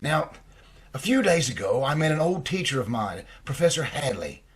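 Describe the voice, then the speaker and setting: Husky, earnest doctor , played by a man. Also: medium pitch, sing-song, moderate pace. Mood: pleading, reassuring. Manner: earnest, pleading, hesitant. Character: earnest, worried male family doctor, pleading and patient. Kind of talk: old-time radio drama acting.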